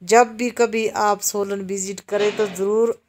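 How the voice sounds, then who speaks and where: Slow, even-voiced woman touring a market. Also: medium pitch. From Himachal Pradesh, India. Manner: slow, even-toned, explaining to viewers. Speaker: vlogger giving a walking market tour. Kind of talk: casual walking tour narration. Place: busy town market street outdoors. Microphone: handheld camera while walking.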